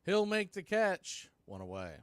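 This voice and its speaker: A man works as a baseball play-by-play announcer. His voice sits a little high for a man. He delivers calls in short, clipped phrases with pauses between them, in a very dry sound free of room echo. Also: big pitch swings. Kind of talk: live sports radio play-by-play commentary.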